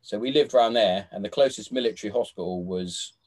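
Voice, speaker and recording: A man's voice: fairly low pitch, fast talker, darkish tone, slight background noise. Sweary, chatty man. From England. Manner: sweary, laughing, trails off into restarts. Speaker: podcast host. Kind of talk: casual chat.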